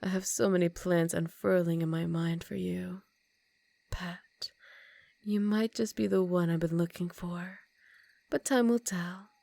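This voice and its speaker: Woman playing a vampire countess for ASMR roleplay: lower-pitched, predatory, dominant, teasing, slipping into whispers, very dry close sound. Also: fairly even intonation, vocal fry, mellow, no room sound. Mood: seductive, amused. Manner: slow teasing, dominant purr, often dropping into whispers. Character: seductive, commanding female vampire countess, ancient and predatory.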